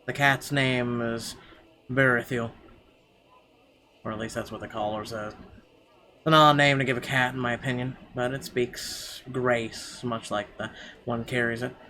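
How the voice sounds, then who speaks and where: A man's voice: medium pitch, steady tone, a little slow, long pauses, bright, forceful tone, a little hiss or hum. A man narrating a visual novel as a game streamer, reading expressively with small stumbles and asides. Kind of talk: reading visual novel text aloud, let's play. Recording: game music behind the voice.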